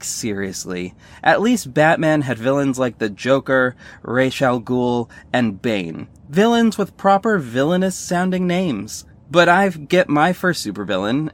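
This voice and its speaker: A man's voice: mid-range voice, close to an everyday voice. An actor playing a sardonic male superhero who narrates his own story in a scripted audio drama, wry and earnest. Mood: sardonic exasperation.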